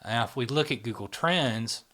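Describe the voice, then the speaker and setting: A man's voice: medium pitch, animated, moderate pace, fairly bright tone. A man hosting a casual interview. He prompts his guest with frequent affirmations and talks with energy when he presents his points. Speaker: interviewer and host. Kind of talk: casual interview, running through a topic list.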